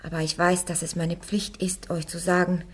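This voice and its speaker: An actress playing a devout young woman warrior on trial, answering her judges firmly and calmly in a radio drama. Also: lowish voice, moderate pace, husky. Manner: firm, calm, defiant answers.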